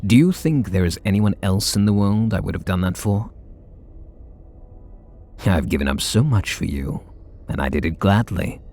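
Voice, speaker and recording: A man voicing a flustered, tender super villain in an ASMR roleplay, speaking softly and intimately. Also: lively intonation, normal speed, long pauses. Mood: confessing. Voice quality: low gravelly husky voice. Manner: soft and intimate, bashful.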